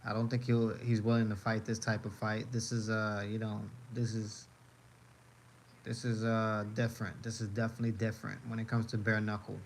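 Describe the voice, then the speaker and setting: A man's voice: lowish voice, monotone, normal speed, a little hiss or hum. A man from the United States chatting casually on a live stream as he reacts to videos, rambling and repeating his phrases with many fillers.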